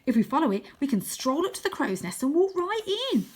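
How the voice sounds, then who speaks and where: An eager young boy from a storybook, put on by a woman reader, pitched up high. He exclaims proudly and boasts about his magic with great excitement. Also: brisk. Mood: confident.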